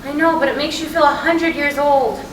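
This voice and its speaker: A woman's voice: high voice, fairly even intonation, a little fast, close to an everyday voice. A woman reading the part of a restless, sardonic wife in a staged play, in blunt, conversational dialogue. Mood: agitated, depressed. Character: restless, sardonic wife, easily upset, nostalgic. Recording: distant mic in echoey, noisy room.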